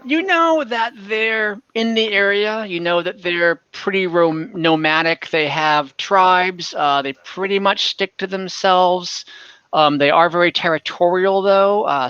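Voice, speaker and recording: A man narrating an online fantasy tabletop game as game master, explaining things in a casual, halting way. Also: high voice, bright, forceful tone, clear, resonant tone, no echo, highs cut off.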